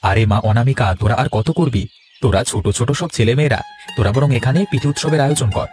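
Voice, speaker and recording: A man's voice: medium pitch, quick pace, close to an everyday voice. A man playing a kindly, hearty village uncle in a cartoon, reassuring and avuncular. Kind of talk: cartoon voice acting. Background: instrumental music.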